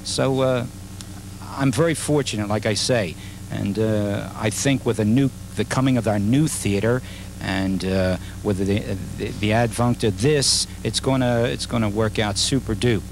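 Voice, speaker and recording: Rambling middle-aged man, low voice. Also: measured pace, background noise. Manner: rambling, many fillers and restarts. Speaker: restaurant manager. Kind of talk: on-camera interview. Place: indoor dinner theater stage. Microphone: handheld mic.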